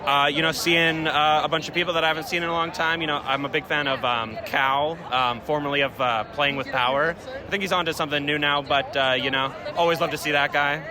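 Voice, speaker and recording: A man from Canada attending a convention, answering with dry, deadpan humor. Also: on the high side, brisk. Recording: crowd noise on a convention floor.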